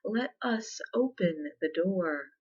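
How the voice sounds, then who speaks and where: Woman as earnest little goslings, very slow speech. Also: on the low side, animated, a little mellow, breathy edge, highs cut off, heavily acted. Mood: trusting. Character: little young goslings, obedient, wary storybook creatures. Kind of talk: ASMR storybook reading.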